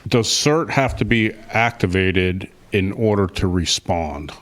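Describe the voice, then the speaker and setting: A man in the audience asking slow, deliberate questions of the panel. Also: low-pitched, expressive. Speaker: audience member.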